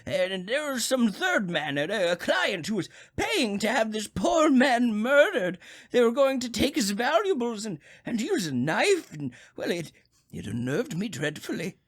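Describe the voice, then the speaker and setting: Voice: medium pitch, very animated, a little slow. An actor playing a crotchety, nervous old male invalid who stammers insistently on the telephone, in old-time radio suspense style. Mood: agitated.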